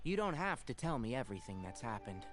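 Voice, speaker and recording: A man playing a perceptive young forest ranger with a measured, straightforward way of talking in an anime video game. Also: medium pitch, very animated, lightly acted. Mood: frank. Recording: game music behind.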